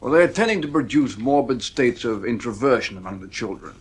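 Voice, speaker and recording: A matter-of-fact psychiatrist in a vintage radio drama. His mid-range voice is rough and husky, and he explains things in a measured, affable, professional manner. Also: medium pitch, lively intonation. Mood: affable, explaining. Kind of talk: 1950s radio drama acting.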